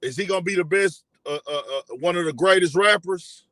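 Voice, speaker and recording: A young man hosting a casual commentary stream, animated and repetitive, often asking the audience questions. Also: high-pitched, fairly quick, no echo. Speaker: commentary show host. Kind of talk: casual live commentary to viewers.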